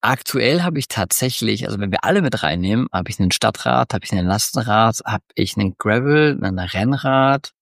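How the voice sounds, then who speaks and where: Low gravelly voice, relaxed podcast guest. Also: male voice, brisk, hardly any pauses, no echo, clean studio sound. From northwestern Germany near the Dutch border. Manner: relaxed, rambling, with many fillers. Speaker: podcast guest, agency founder. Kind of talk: casual interview talk.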